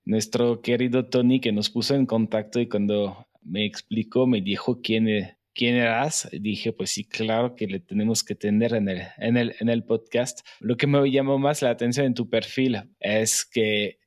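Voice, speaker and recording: A man's voice: fairly low pitch, steady tone, measured pace, few pauses, a little mellow. A man hosting a podcast interview, reading a scripted introduction and then chatting with his guest, often restarting his sentences. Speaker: podcast host.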